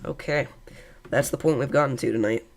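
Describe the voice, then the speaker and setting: A man's voice: medium pitch, fairly quick, gravelly. Congested young man hosting live weather. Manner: casual, rambling with fillers. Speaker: weather stream host. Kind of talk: live weather coverage, reading chat.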